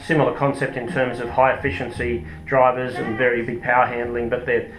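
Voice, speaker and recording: A man's voice: mid-range voice, completely flat delivery, fairly quick, a bit bright. A man with an Australian accent explaining products in an informal interview, in a hesitant, explanatory way. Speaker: company product manager being interviewed. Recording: roomy echo with music behind.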